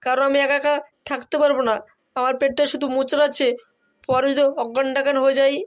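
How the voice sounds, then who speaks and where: A man's voice: extremely high pitch, flat delivery, fast. A man voicing a sick, whining young fellow with a bellyache in a comic cartoon. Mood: miserable, whining. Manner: complaining, whiny. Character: sick whining young male friend with stomach cramps.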